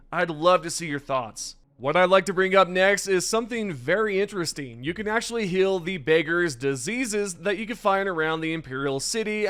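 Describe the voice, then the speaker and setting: A young man hosting a gaming news video, chatty and enthusiastic, his voice slightly raspy today. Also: sits high, a bit bright. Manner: enthusiastic, chatty, addresses viewers directly. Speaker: gaming channel host. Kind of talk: casual gaming news commentary over gameplay.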